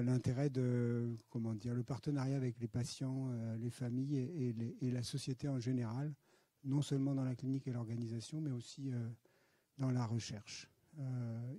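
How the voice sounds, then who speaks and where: Middle-aged French-accented man, mellow dark voice, halting lecture delivery with frequent "euh", dry sound. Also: mid-range voice, no echo. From France. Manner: hesitant, many fillers, friendly. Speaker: guest speaker, pediatric hematologist and researcher. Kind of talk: conference talk. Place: lecture hall.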